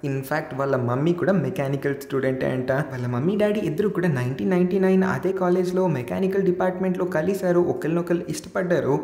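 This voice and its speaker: Brisk storyteller in an echoey room. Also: mid-range voice, dark tone. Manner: chatty storyteller, voicing dialogue in passing. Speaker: narrator recapping a film. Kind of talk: casual scripted story narration.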